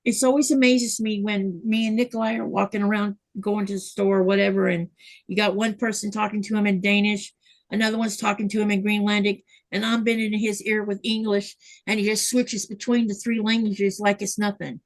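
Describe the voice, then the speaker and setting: A woman's voice: medium pitch, level tone, a little fast, no echo, rough recording. A middle-aged woman hosting a sewing livestream, chatting casually and telling rambling stories. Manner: chatty, rambling storyteller, reads viewer comments. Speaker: livestream host.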